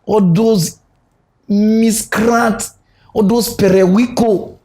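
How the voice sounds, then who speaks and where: A man with a Nigerian Pidgin accent hosting a comic mock news segment, ranting in an animated, mocking way. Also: high voice, extremely slow. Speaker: host.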